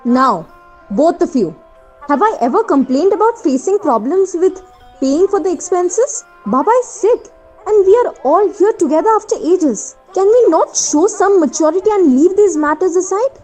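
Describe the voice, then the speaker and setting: A woman's voice: very high-pitched, lively intonation, moderate pace, mellow, soft background music. A woman playing a weary, peacemaking grown-up daughter in an amateur radio play, earnest and pleading. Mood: exasperated.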